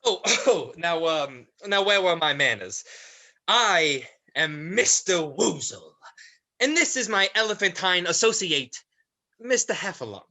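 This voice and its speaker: A performer playing Mister Woozle, a theatrical, mocking, ominous demon with drawn-out, mock-polite taunts, in a stage play reading. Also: mid-range voice, expressive, pushed and bright. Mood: mock-polite menace.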